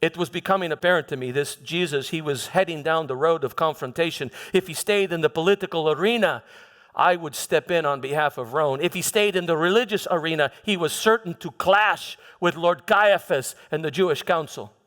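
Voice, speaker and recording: A man playing a troubled Roman governor who recounts his past in a halting dramatic monologue, repeating words and pausing for emphasis. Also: a little high, fairly bright tone, close to an everyday voice. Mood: grave, reflective. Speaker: church dramatist performing a monologue. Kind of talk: first-person dramatic monologue in church. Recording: echoey hall with long reverb.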